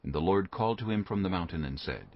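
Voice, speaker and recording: A man with a husky voice reading scripture as a scripted narration. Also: low voice, lively intonation, fast talker. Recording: dull, slightly muffled audio.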